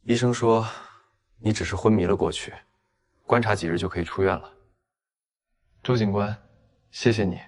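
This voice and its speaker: An actor playing cool, restrained, clever young men in a detective drama for an animated comic. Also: male voice, fairly low pitch, moderate pace, pauses often, natural voice. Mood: calm, probing.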